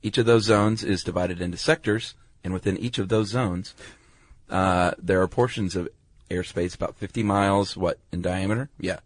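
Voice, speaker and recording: A man's voice: low-pitched, fairly even intonation, moderate pace, dry recording. A man co-hosting a podcast, explaining step by step in a colloquial, laid-back, slightly hesitant way. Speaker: podcast co-host. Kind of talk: casual explanatory chat.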